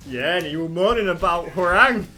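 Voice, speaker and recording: A man's voice: high-pitched, expressive, moderate pace, bright, forceful tone. A man hosting and commentating a fighting-game stream, laughing and bantering over voice chat. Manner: laughing, banter-heavy, swearing. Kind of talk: casual esports commentary. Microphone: online voice chat. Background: game music.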